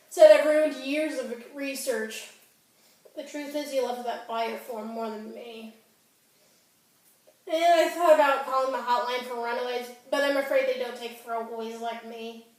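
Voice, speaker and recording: Echoey, high-voiced angry teenage girl acted by a woman. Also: close to an everyday voice. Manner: melodramatic, sarcastic, exasperated. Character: angry, wounded fifteen-year-old girl performance artist. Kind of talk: theatrical stage monologue. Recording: echoey room, distant microphone.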